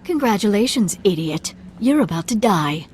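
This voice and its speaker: A woman's voice: medium pitch, lively intonation, normal speed, a little mellow, a little hiss or hum, lightly acted. An actress playing a cocky, breezy young noblewoman spy in a video game, teasing and flippant. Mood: mocking. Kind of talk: dramatic video game acting.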